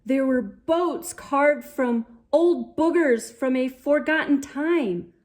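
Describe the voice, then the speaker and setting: A woman telling a children's story in an expressive read-aloud style, theatrical and playful, with dramatic pauses and emphasis. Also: sits high. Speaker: children's story reader, host.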